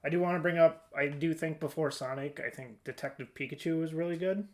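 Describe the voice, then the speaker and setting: A man's voice: a little high, a little fast. A man hosting a casual discussion, informal and thinking aloud as he goes. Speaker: podcast host. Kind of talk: casual discussion of films and shows.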